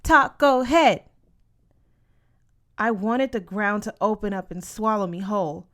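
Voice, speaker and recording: A woman reads a story in the first person, as for an audiobook. Her voice sits at a middle pitch, and her delivery is expressive and narrative. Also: moderate pace. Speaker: audiobook reader.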